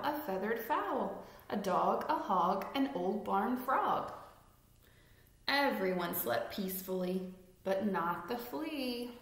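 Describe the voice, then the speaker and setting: A woman in her thirties reading a children's picture book aloud expressively, deliberately stressing rhymes and putting questions to young listeners. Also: medium pitch, fairly bright tone. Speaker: read-aloud storyteller. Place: indoor room at home with fireplace mantel. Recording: echoey room.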